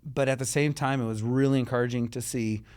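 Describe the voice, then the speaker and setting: A man from Israel speaks in a mid-pitched voice as an interview guest. His delivery is earnest and halting, full of restarts and small fillers as he gathers his thoughts. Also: steady tone, a little mellow, clean tone. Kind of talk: conversational interview.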